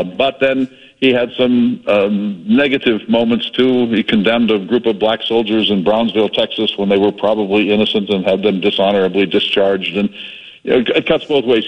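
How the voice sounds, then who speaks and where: Low-voiced historian with wry humor. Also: male voice, moderate pace, highs cut off. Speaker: historian guest. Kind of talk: radio interview.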